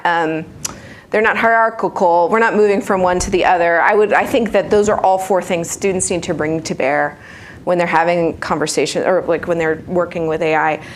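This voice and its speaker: A woman speaker answering audience questions about teaching, talking in a thoughtful way with frequent restarts and fillers. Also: mid-range voice. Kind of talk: conversational presentation Q&A.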